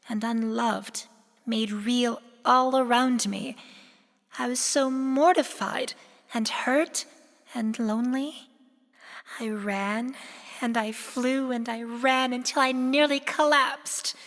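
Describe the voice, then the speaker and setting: A woman's voice: fairly high pitch, fairly bright tone. A woman acting a remorseful, lonely pony princess of the night in a fan radio drama, speaking in a solemn, formal, emotional way. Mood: haunted, mournful. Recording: long hall reverb.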